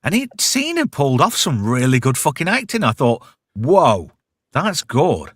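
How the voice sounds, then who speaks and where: A British man hosts a casual pop culture chat in a slangy, irreverent way. His pitch swings widely as he talks, and he swears freely. The sound is close and dry. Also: very animated, fairly quick, a bit bright, dry recording. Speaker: host of a pop culture review show.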